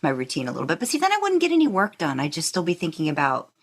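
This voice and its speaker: A woman with a distinctly low voice hosts a casual podcast conversation. Her intonation is very lively, swinging up and down as she rambles and thinks aloud. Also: rapid-fire, husky. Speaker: podcast host. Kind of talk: casual interview chat.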